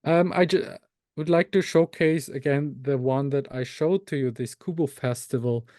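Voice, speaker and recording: A man from Austria whose first language is Tagalog, answering interview questions slowly, with many fillers and self-corrections. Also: a little high, darkish tone, no echo, studio quality. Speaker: researcher and author, interview guest.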